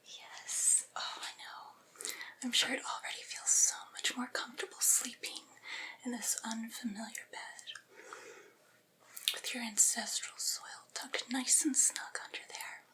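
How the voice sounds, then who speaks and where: Slow, whispering woman as a devoted vampire's familiar. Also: on the high side, fairly even intonation, low-quality recording. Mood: gently chatty. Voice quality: breathy, unvoiced whisper. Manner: slow, coaxing, reassuring, gently fussing. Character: devoted, patient vampire's familiar, coaxing a cranky master. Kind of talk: ASMR roleplay, soothing personal attention.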